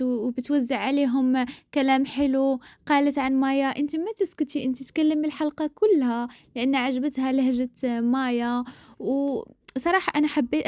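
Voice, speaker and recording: A woman from the Maghreb chatting casually as a co-host, opinionated and quick to laugh. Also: sits high, darkish tone, no echo, clean studio sound. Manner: speaks in a levelled dialect mix, not her own. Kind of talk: casual chat.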